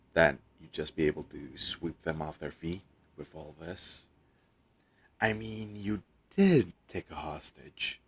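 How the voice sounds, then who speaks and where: A man's voice: very deep voice, slightly rough, exaggerated voice. A man playing a captured superhero posing as a hostage in an intimate audio roleplay, wry, teasing and flirtatious.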